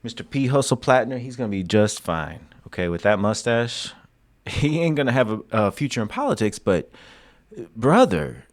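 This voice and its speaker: A man's voice: mid-range voice, sing-song, normal speed. A man hosting a casual political commentary, joking with slangy asides. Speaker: commentary host.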